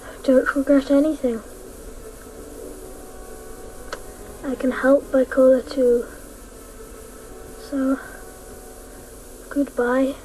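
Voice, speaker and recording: A stubborn, heartbroken little boy in a radio drama. The voice is high and unhurried, earnest and plaintive. A faint layer of noise sits on the recording. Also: little pitch movement, dark tone, rough recording. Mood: solemn farewell. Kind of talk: radio play acting. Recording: faint hiss, old radio play.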